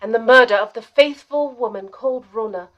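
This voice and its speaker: A devoted female assistant to a lady detective played by an actress in a period radio mystery. Her voice is fairly high, with an earnest, expressive and deferential delivery. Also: fairly quick, highs cut off, close to an everyday voice. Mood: admiring, narrating.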